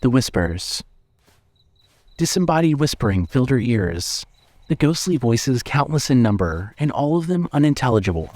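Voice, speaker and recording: A man reading scripted horror fiction as narrator, in a measured storytelling manner. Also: mid-range voice, big pitch swings, a little mellow, no room sound.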